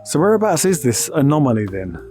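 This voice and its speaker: Man playing a confident, higher-voiced crew leader. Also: very animated, brisk, darkish tone, faint music behind. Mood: guarded. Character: confident, breezy male spaceship crew leader. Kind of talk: audio drama character acting.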